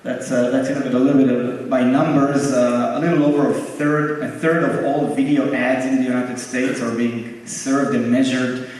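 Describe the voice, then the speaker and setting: A man with an Israeli accent speaking on a conference panel, halting often and joking about himself. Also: medium pitch, level tone, a little mellow. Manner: halting with many "uh"s, self-deprecating. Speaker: panelist, tech co-founder. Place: large conference hall. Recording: echoey hall from far off, faint noise.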